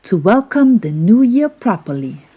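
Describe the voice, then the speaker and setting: Woman voicing a slow, kindly, wise storybook leader. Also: medium pitch, sing-song, dark tone, slightly rough, highs cut off. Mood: kind, earnest. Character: kindly, wise male glowing storybook friend, calm leader.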